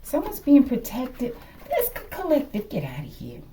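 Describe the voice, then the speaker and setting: A woman with an American accent and a soft-edged, mellow voice gives an off-the-cuff card reading. She muses aloud and keeps checking in with her viewers. The microphone sits a little away from her. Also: sits high, wildly swooping pitch, moderate pace, far from the mic, a little hiss or hum. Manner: musing, often checks in with okay. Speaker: tarot reader. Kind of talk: casual improvised card reading addressed to viewers.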